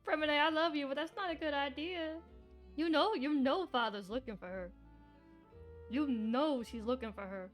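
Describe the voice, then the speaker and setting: Streamer casting: woman, higher-pitched, casual and reactive, soft music behind. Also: moderate pace. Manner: expressive, reactive, mutters to herself, swears. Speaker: game streamer. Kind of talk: casual live game commentary.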